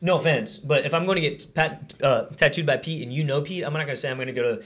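A young man hosts a casual podcast. His voice sits a little high, and he talks in quick bursts. He teases his guests and swears freely. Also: a bit bright. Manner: fast, joking, crude, teasing, lots of fillers. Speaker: podcast host.

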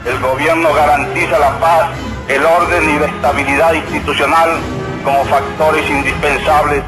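A man's voice: fairly high pitch, little pitch movement, normal speed, music in the background, natural voice, low-quality recording. A man playing a dictatorship's official spokesman in a radio drama, reading formal officialese stiffly. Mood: stern, official.

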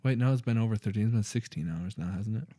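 A man's voice: lowish voice, quick pace, mellow, no room sound, clean studio sound. A young man co-hosting a podcast, chatting loosely about his new year goals, swearing freely and laughing often. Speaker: podcast co-host. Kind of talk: casual chat.

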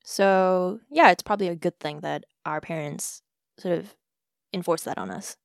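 A woman's voice: lowish voice, creaky, no echo, clean studio sound. A young woman from South Africa, a podcast host answering questions in a casual, reflective chat. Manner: measured, reflective, with soft fillers. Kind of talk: casual conversation between sisters.

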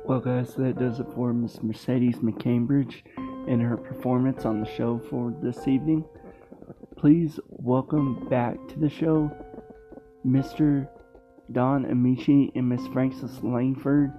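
Male podcast host, unhurried halting read, slightly muffled sound, soft guitar underneath. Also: medium pitch, flat delivery. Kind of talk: scripted podcast outro. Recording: dull, muffled podcast recording. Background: faint guitar music.

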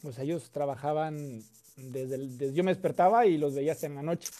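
A man from Mexico with a Mexican accent, a guest telling relaxed, chatty stories with many fillers and repeated words. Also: a little high, a little fast. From Mexico (Coahuila). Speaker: guest, content creator. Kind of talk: casual storytelling chat.

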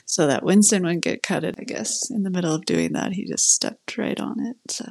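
A woman's voice: on the low side, huge pitch swings, moderate pace, creaky, darkish tone. A woman co-hosting a podcast, chatting casually and telling a long story with many "like" fillers. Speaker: podcast co-host, attorney.

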